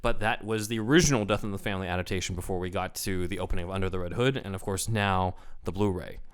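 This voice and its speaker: A man's voice: low-pitched, quick pace, smooth. A man hosting a casual podcast, explaining comic-book trivia with lots of fillers. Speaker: podcast host.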